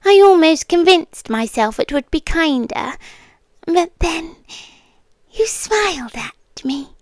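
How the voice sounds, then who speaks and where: Slow, breathy British immortal seductress, played by a woman. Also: very high voice, animated, dry recording, studio quality, heavily acted. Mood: wistful, confiding. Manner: hushed, teasing, slow and deliberate, often dropping to whispers. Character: ancient immortal female monster, patient, possessive, seductive. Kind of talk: ASMR roleplay. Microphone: close microphone.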